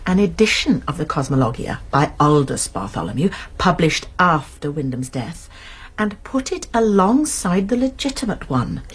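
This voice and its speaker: A woman's voice: deep voice, sing-song, normal speed, husky, full character voice. An actress playing a shrewd British woman amateur sleuth in a radio drama, explaining things precisely and earnestly with small stammers.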